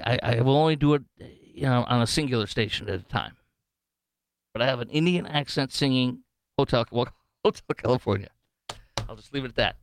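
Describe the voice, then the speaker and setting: A man filling in as talk radio host, chatting in an animated, sarcastic way with many fillers and restarts. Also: mid-range voice, clear, resonant tone, dry recording. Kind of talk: casual talk radio commentary.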